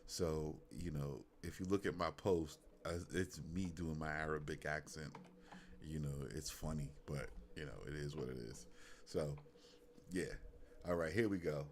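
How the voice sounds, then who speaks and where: A man's voice: a bass voice, fairly even intonation, moderate pace, slight background noise. A man with a Brooklyn accent hosting a live music review stream, laid-back and loose, leaning on "you know what I'm saying.". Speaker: host. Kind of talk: casual livestream chat.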